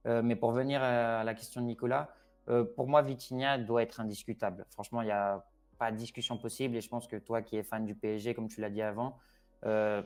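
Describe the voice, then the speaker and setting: A man with Porto roots talks football casually as an invited expert, stumbling through fillers and restarts, then pressing his points with conviction. His pitch sits in the middle range for a man. Faint music runs underneath. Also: brisk. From Portugal (Porto roots). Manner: hesitant, many fillers and restarts, animated. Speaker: expert guest on Portugal.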